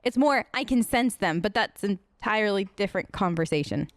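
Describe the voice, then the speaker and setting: A woman's voice: medium pitch, lively intonation, fairly quick, no echo. A woman hosting a gaming video, improvising in-character replies in a sarcastic, conversational way on a close mic. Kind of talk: improvised in-character role-play let's play.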